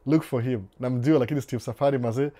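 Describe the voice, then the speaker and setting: A man talks in an easy, laid-back way on his live stream, reading out viewer comments and answering each one. His voice is mellow and warm at a middle pitch. He slides between Swahili and English without breaking stride. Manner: relaxed, unhurried, switches between Swahili and English mid-sentence; reads viewer comments aloud and responds to them. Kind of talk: casual live-stream chat.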